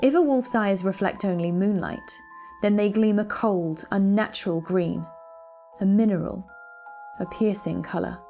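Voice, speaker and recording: Female narrator for dark literary tale. Dramatic, ominous, measured phrasing, music underneath. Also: medium pitch, creaky. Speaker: reader of a short story. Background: background music.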